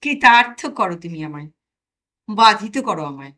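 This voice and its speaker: A woman's voice: mid-range voice, animated, normal speed, pushed and bright. A woman playing an exasperated, sarcastic protagonist of a comic story, arguing in an indignant, wry way. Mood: scornful. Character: exasperated, sarcastic narrator confronted by own conscience.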